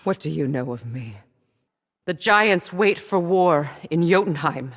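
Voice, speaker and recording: An actress playing a proud, wounded, vengeful Norse goddess queen in a video game, speaking with imperious, deliberate intensity. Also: deep voice, expressive, heavily acted. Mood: stern, commanding. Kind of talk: dramatic video game acting.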